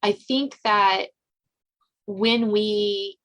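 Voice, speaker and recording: A woman's voice: mid-range voice, steady tone, drawn-out words, a bit bright. Woman hosting a conversational interview podcast. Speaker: podcast host.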